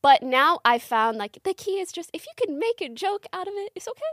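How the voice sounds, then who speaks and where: A young woman with a high voice talks in a lively, joking way as a podcast guest. The sound is close and dry, with no room around it. She is from Morocco and laughs easily between thoughts. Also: dry recording. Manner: animated, joking, quick to laugh. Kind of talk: casual podcast chat.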